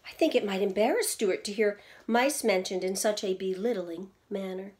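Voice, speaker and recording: A woman's voice: medium pitch, normal speed, darkish tone, close to an everyday voice. A woman voicing a concerned, thoughtful mother from a children's storybook, with considered, proper phrasing.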